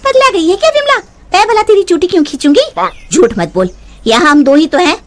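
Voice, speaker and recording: A woman voicing an excitable, squabbling village woman in a cartoon. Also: very high-pitched, a little fast, pitched above the performer's own voice. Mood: quarrelsome. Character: squabbling, excitable village women carrying water pots. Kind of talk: Hindi cartoon voice acting.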